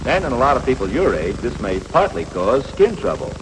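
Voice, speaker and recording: A man acting as a patient American instructor in an old 1950s classroom film. His delivery is lively and varied, plain-spoken and reassuring. The soundtrack is reverberant, with some hiss and noise. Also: mid-range voice, fairly quick, lightly acted. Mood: frank, reassuring. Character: patient, matter-of-fact male coach teaching adolescent boys. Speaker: instructor of teenage boys.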